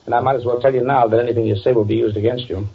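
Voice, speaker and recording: An actor playing a stern, skeptical, world-weary police detective in an old-time radio drama, speaking bluntly and deadpan. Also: male voice, mid-range voice, racing, creaky, slightly rough. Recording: tinny, noisy old radio recording.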